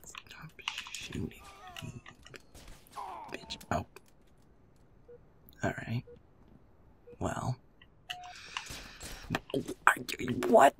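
A young man talks casually through his gaming session in a very creaky voice. His delivery is slow but swings widely in pitch, full of muttered swearing and self-deprecation. Controller clicking and background noise sit behind him. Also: huge pitch swings, in a whisper. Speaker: gamer, audio creator. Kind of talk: casual chatter while playing a shooter game. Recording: noisy room with controller clicking. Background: game controller clicks.

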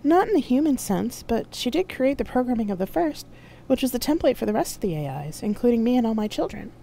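A narrator voicing a calm, knowing artificial intelligence companion in a science fiction audiobook. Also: medium pitch, fast, creaky, slight background noise, voice pushed up. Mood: explanatory, calm.

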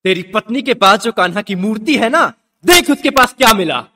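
A man's voice: high voice, lively intonation, brisk. A man playing a stern, domineering royal father-in-law, accusing and angry, in a radio drama. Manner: forceful, accusatory. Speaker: actor in a student radio drama. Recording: long hall-like reverb.

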